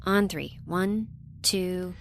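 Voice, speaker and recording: A woman's voice: on the low side, extremely slow, full character voice. A woman playing a plucky, practical young science intern in a science fiction audio drama. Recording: clearly noisy background.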